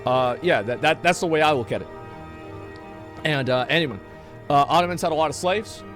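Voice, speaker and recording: A man's voice: on the high side, brisk, fairly bright tone, soft background music. A man streaming a game live, rambling in casual, profane chat with many restarts.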